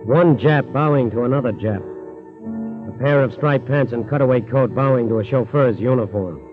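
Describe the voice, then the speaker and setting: An actor narrating a 1940s American radio crime drama in a solemn manner. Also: male voice, medium pitch, normal speed, lightly acted. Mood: solemn, measured. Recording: muffled old 1940s radio broadcast, faint music.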